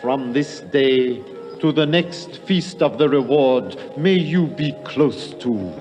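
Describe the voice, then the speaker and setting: Slow, solemn temple priest in reverb. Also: male voice, medium pitch, lively intonation, darkish tone, faint music behind. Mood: solemn, ceremonial. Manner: ceremonial, measured, pompous. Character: solemn, merciless male temple priest pronouncing sentence. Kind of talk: 1950s radio drama acting. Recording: long reverberant hall, old radio drama.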